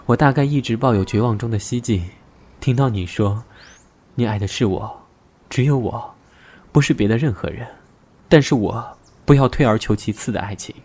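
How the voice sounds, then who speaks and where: An actor playing a reserved, wounded young man in an audio drama, speaking in a measured, resigned, serious way. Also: medium pitch, darkish tone, slightly rough. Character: reserved, wounded young man setting terms to a former lover. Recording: music behind the voice. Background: music.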